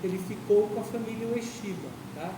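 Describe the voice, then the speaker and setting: A woman's voice: mid-range voice, a little fast. A Brazilian woman, an aikido instructor, giving an informal explanatory lecture to students and checking in with them at the end of phrases. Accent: Brazilian Portuguese. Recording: echoey hall from far off, noisy.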